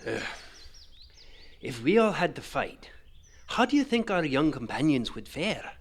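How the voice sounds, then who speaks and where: A man playing a stern, duty-bound veteran general in a fantasy audio drama, speaking formally and with measured authority. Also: on the high side, big pitch swings, slight background noise. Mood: grave, patient.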